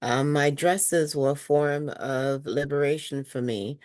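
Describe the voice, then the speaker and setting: A woman spoken word artist from Saint Lucia introduces herself with a low voice. She speaks reflectively, often pausing on ums. The sound is dry. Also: a little mellow, no room sound.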